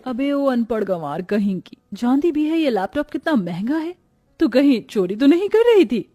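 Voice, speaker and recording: A woman's voice: high voice, big pitch swings, normal speed, warm tone, dry recording, clean studio sound. A woman voicing an arrogant rich young office worker in a cartoon moral story, haughty and scolding. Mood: scornful, angry.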